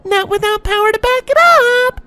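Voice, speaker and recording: A man voicing a bratty young unicorn filly bully with a whiny falsetto and a sneering manner. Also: steady tone, a little fast, sharp tone. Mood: jeering. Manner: sneering, irritating whine.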